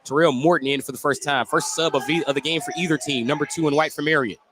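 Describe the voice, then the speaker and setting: A man's voice: a little high, fairly even intonation, quick pace. A man doing live radio play-by-play of basketball from courtside, describing each move in clipped, detailed phrases. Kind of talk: live sports play-by-play commentary. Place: courtside in a school gym. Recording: noisy live gym broadcast.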